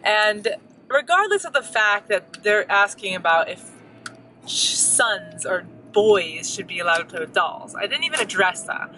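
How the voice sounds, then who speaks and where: A woman's voice: mid-range voice, expressive, a little slow, bright, forceful tone, faint music behind, poor audio. An American woman co-hosting a family vlog, talking in an earnest, chatty way. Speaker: vlog co-host. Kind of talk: casual chat.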